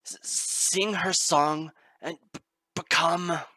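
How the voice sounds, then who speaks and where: Man playing a sorrowful ancient queen, solemn, grave, regal, crisp dry sound. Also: fairly high pitch, moderate pace, sharp tone, no room sound, voice pushed up. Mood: booming.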